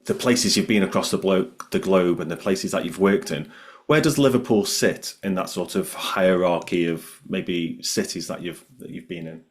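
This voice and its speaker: Low-voiced teasing man co-host. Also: lively intonation, normal speed. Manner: teasing, casual. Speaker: podcast co-host.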